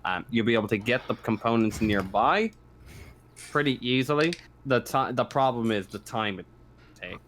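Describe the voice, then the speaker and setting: A man speaks as game master in a medium-pitched voice. He moves between casual narration and rules explanation, keeping his language loose and sweary. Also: normal speed, a bit bright, slight background noise. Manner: informal, sweary. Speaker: game master of a tabletop roleplaying game.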